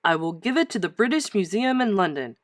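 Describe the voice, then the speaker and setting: A knowing, wealthy storybook gentleman, as a woman reader puts him on. He is enthusiastic and emphatic, declaring things with animated swings. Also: mid-range voice, brisk, no echo, clean studio sound, lightly acted. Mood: eager.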